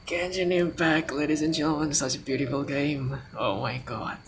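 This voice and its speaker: A man's voice: a little high, steady tone, normal speed, background noise. A man giving casual commentary as he plays through a game. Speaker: game streamer. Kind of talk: casual gameplay commentary and reading dialogue. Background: prominent game music.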